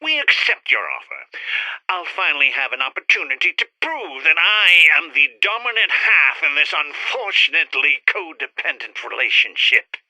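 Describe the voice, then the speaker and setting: An actor playing a haughty, bickering alien scientist twin with a contemptuous manner in a retro sci-fi radio play. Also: male voice, fairly high pitch, sing-song, normal speed, pushed and bright, no echo, lo-fi sound, exaggerated voice.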